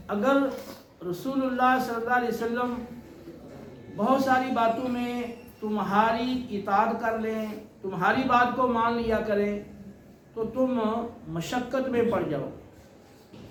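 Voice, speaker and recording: A man preaching an Islamic sermon, explaining scripture in a deliberate, repetitive teaching manner. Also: very high-pitched, fairly bright tone. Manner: deliberate, explanatory, repeats phrases. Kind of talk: sermon with Quran recitation and explanation. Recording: roomy echo with faint background noise.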